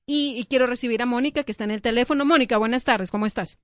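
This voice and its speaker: A woman's voice: fairly high pitch, racing, no room sound. Female live radio host, conversational and wordy, restarts phrases often. Very dry, slightly lo-fi sound. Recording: dull, dry radio recording.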